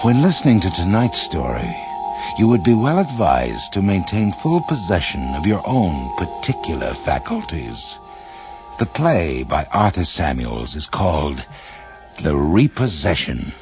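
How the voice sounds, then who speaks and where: Sinister horror radio host: extremely deep, slow, formal, theatrical, slightly muffled over music. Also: male voice, very animated, full character voice. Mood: ominous. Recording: muffled audio under music.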